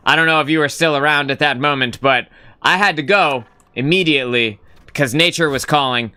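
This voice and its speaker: A man's voice: fairly high pitch, a little fast, bright, forceful tone, smooth. A young man hosting a casual live gaming stream, rambling and swearing casually as he greets chat.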